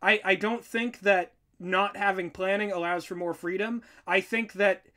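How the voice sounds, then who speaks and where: A writer co-hosting a casual reaction discussion. The voice sits at a middling pitch, and the delivery is animated and sardonic, with exasperated, conversational banter. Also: moderate pace. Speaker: podcast-style reaction co-host and writer.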